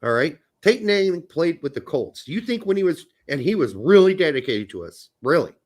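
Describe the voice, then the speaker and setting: A man with a high, very animated voice rants through a sports debate. He swears freely and presses his points hard. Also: fairly quick. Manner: profane, ranting, emphatic. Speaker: podcast co-host.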